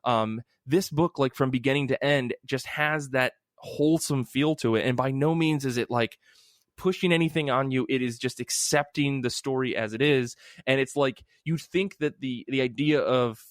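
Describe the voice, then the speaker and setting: A man hosts a casual hobby podcast in a medium-pitched voice. He talks effusively and with emphasis, dropping swear words freely. The sound is dry, with very little room on it. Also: moderate pace, dry recording. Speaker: podcast host. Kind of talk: casual chat about comic books.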